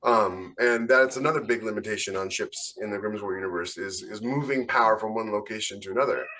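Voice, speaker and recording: A man's voice: fairly low pitch, fairly quick, sharp tone, a little hiss or hum. A man co-hosting a casual podcast discussion, explaining things with enthusiasm as a writer. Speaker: podcast co-host and science fiction writer.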